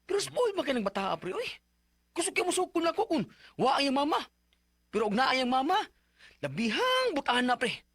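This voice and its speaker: A man's voice: very high-pitched, wildly swooping pitch, brisk, pauses often, fairly bright tone, no echo, studio quality, exaggerated voice. A man playing a strict, short-tempered, aggrieved stepfather in a radio drama. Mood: frustrated complaining. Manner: scolding, emphatic. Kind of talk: Philippine radio drama acting.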